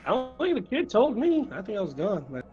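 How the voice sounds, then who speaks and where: A man's voice: high voice, huge pitch swings, brisk, darkish tone, highs cut off. A man playing a tabletop roleplaying game, chatting casually and speaking for his character. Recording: faint music behind the voice.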